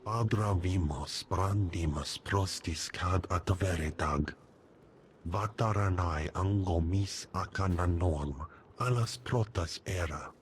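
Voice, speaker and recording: Game alien: low, measured, solemn invented tongue, ambient noise behind. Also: male voice.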